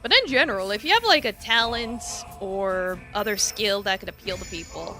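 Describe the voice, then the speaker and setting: A woman's voice: medium pitch, lively intonation, normal speed, sharp tone, soft background music. A woman streaming a game, chatting casually with viewers in a giggly, rambling way full of fillers. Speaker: VTuber streamer. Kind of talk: casual live-stream chat while gaming.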